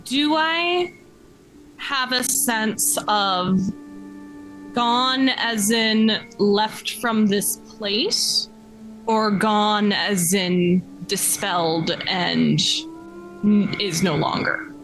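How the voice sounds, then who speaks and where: A woman's voice: medium pitch, drawn-out words, a bit bright, faint music behind, rough recording. A woman playing a tabletop roleplaying game, talking with the game master. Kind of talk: careful question to the game master.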